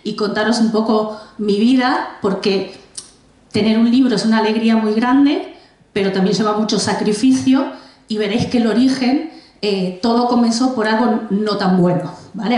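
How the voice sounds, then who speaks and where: A woman's voice: fairly high pitch, normal speed, darkish tone. A woman in her forties from Argentina, presenting her first book to an audience in a library, chatty with frequent restarts and asides. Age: 40s. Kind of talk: public book presentation talk. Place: public library room with audience. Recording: very echoey library room.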